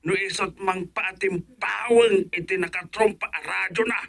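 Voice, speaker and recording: A man's voice: high-pitched, fairly even intonation, normal speed, creaky, fairly bright tone, exaggerated voice. A man with an Ilocano accent playing an agitated neighbour on the phone in a radio comedy drama.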